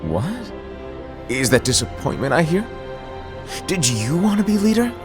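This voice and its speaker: Teasing, cryptic master, wildly expressive. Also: male voice, fairly high pitch, unhurried, music in the background. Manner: playful, teasing, theatrical delivery. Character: flippant, cryptic male master mentoring his apprentices. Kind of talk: animated game cutscene acting.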